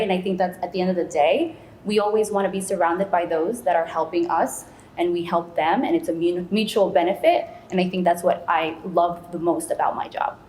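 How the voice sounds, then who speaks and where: A woman's voice: lowish voice, darkish tone. A woman who grew up in the United States, answering as an interview guest in a chatty, conversational way with frequent small asides. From United States, born in Peru. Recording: echoey room, faint background noise.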